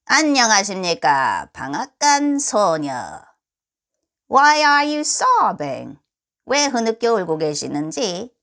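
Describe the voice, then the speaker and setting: A tiny magical man from a storybook, voiced by a woman. He is courteous but always bargaining, and he draws his questions out very slowly with a swooping pitch. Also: sing-song, a bit bright, full character voice. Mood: courteous, curious. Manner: formal, drawn-out, probing.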